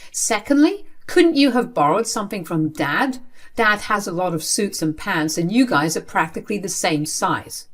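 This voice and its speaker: A woman's voice: medium pitch, expressive, moderate pace, lightly acted. A woman voicing a wronged teenage daughter who argues back in an exasperated, pleading way in a dramatized story. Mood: tired, defensive. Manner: pleading, indignant.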